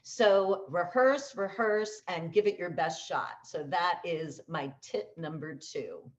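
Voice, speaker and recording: Low-pitched emphatic woman coach, dull recording. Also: takes it slowly. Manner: emphatic, repeats key words for stress; conversational, asks rhetorical questions. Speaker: voice-over coach. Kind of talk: instructional talk with tips.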